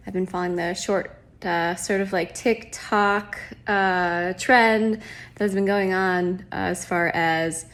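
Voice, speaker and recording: A woman's voice: lowish voice, fairly even intonation, slow, fairly bright tone. A woman hosting her own podcast as a life coach, talking casually with lots of fillers, sounding nasal from a cold. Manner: chatty, many fillers like "um" and "like". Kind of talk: casual solo podcast talk.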